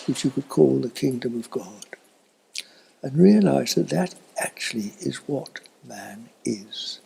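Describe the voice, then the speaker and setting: An elderly man giving a slow, reflective spiritual talk in a husky, breathy voice that often fades toward a whisper, with long thoughtful pauses. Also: mid-range voice, a little mellow, rough recording. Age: older, elderly. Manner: contemplative, halting with long pauses. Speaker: spiritual teacher.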